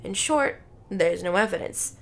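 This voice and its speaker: A woman's voice: medium pitch, animated, unhurried, vocal fry, a bit bright, a little hiss or hum. A woman voicing a dismissive, mocking young college friend in a story, sarcastic and skeptical.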